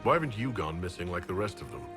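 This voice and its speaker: An actor playing a probing agent of a fantasy inquisition in a game, with formal, businesslike delivery. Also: male voice, sits low, big pitch swings. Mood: skeptical. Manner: formal, measured wording, businesslike. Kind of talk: dramatic fantasy game dialogue. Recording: prominent background music under the voice.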